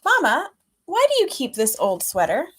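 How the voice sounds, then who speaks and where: A curious young child from a storybook, acted out by a woman reading aloud. The voice is raised in pitch, with blunt, inquisitive questions. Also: wildly swooping pitch, normal speed, fairly bright tone, a little hiss or hum, exaggerated voice.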